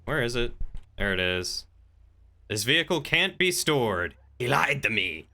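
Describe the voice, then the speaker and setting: A man streaming a roleplay game, chatting casually and talking in character in a wry, measured way. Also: medium pitch, very animated, sharp tone. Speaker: game streamer playing a crime boss.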